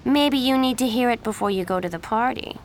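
A woman voicing a catty teenage girl who spreads gossip, in a sweet, needling, high voice, in an audiobook reading. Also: normal speed, vocal fry, fairly bright tone, slight background noise. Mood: sly sweet.

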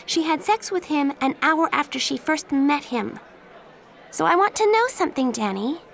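A woman voicing an angry, defiant teenage girl who speaks high and sharp. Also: animated, normal speed, creaky, some chatter behind, full character voice.

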